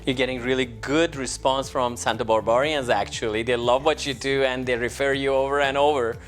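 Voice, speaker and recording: A male TV host presents a home design program. He speaks effusively and pays generous compliments to guests and their work. Light music plays underneath his voice, which sits at an ordinary male pitch. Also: steady tone, fairly quick. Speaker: TV show host. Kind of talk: presenting and interviewing for a design show.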